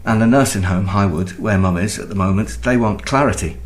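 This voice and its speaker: A man's voice: fairly low pitch, a little fast, lightly acted. An actor playing an anxious, defensive married man in a naturalistic radio thriller, speaking hesitantly. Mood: anxious, explaining. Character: anxious, defensive married man, expectant father and new homeowner.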